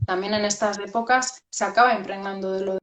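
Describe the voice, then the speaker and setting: A woman talks through her ideas slowly and thoughtfully, stopping often to restart her sentences. Her voice stays within a narrow, even pitch range. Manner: hesitant, many fillers and restarts. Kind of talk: reflective conversational talk.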